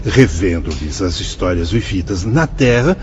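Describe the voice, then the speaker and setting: A solemn, wise spirit guide. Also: male voice, low-pitched, big pitch swings, a little slow, a little mellow, dull audio, a little hiss or hum. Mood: explaining. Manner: solemn, dramatic, sweeping phrasing. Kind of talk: radio drama acting.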